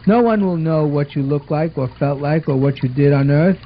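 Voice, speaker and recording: A man's voice: a little high, normal speed, close to an everyday voice. A man voicing an earnest, orderly twelve-year-old boy in a scripted puppet show, explaining things in a bossy, affectionate way. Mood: matter-of-fact. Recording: muffled amateur recording with watery noise.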